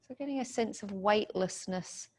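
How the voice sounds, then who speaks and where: A woman leads a guided meditation, giving soothing, gentle instructions in short phrases. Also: medium pitch, normal speed.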